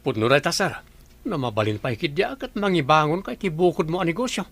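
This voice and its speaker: High creaky-voiced man as a respectful employee, acted out. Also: big pitch swings, quick pace, fairly bright tone, no echo, heavily acted. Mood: respectful, advising. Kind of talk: dramatised story dialogue.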